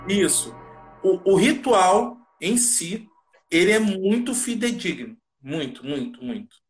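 A man's voice: high voice, expressive, takes it slowly, faint music behind. A man co-hosting a casual live chat, joking around and talking in a playful, slangy way.

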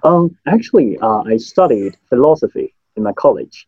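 A man's voice: mid-range voice, sing-song, brisk, slightly rough, dry recording, muffled recording. A man in his thirties with a Hong Kong accent, a native Cantonese speaker, answering interview questions hesitantly with many fillers. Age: 30s. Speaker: memory trainer, guest.

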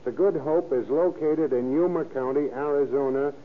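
A man's voice: fairly high pitch, normal speed, lightly acted. An actor playing a scheming American tough guy in an old radio crime drama, with a slangy, emphatic delivery. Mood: eager, insistent. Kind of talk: 1940s radio acting. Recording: scratchy, narrow-band old radio recording.

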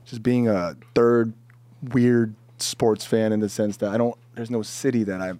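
A laid-back man who grew up in Korea speaks on a podcast in a self-deprecating way. His voice sits a little low and sounds dry and close to the mic. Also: steady tone, a little slow, dark tone, dry recording. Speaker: pastor. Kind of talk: casual podcast conversation.

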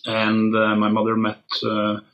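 A middle-aged man with a Norwegian accent, an interview guest telling his story slowly, with many pauses and fillers. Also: lowish voice, monotone, dull audio. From Norway. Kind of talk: casual interview.

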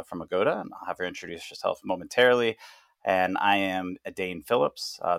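Male podcast host, deep voice, dry close sound, unhurried filler-heavy delivery. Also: gravelly, fairly bright tone, no echo. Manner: frequent "uh" fillers, measured. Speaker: podcast host, founder of consulting firm. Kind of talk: casual interview.

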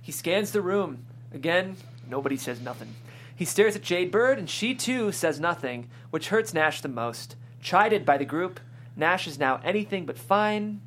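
A woman's voice: lowish voice, lively intonation, unhurried, a little hiss or hum. A woman reading scripted stage directions as the narrator at a table read.

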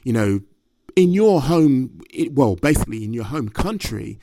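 A man conducts a sports interview, framing long, leading questions in slightly formal language. His voice sits at a middle pitch. The sound is dry and close, with no room around it. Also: big pitch swings, moderate pace, dark tone, no echo.

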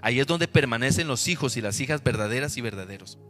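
A man's voice: mid-range voice, lively intonation, fast talker, a bit bright, faint music behind. A man with a Costa Rican accent preaching a sermon to his congregation, emphatic and full of rhetorical questions. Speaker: pastor.

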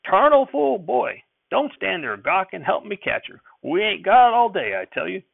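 A man voicing a bossy, cranky old male farmer grandfather who yells in a rustic dialect. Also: high-pitched, big pitch swings, normal speed, vocal fry, husky, no echo, voice pushed up, full character voice. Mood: exasperated. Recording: tinny phone-like audio.

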